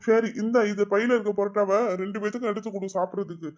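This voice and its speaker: A woman voicing a kind, indulgent father who speaks calmly and teases gently. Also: mid-range voice, quick pace, lightly acted. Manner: calm, gently teasing. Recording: dull lo-fi sound.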